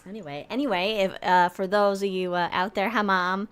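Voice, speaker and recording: A woman's voice: medium pitch, fairly even intonation, brisk, a bit bright, smooth. Woman, podcast co-host, casual rambling chat, mid-range voice. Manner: chatty, rambling, laughs easily.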